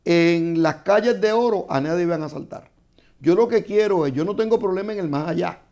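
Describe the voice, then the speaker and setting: A man, a guest author, explaining scripture in a measured, teaching way on a studio panel. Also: sits high, dark tone.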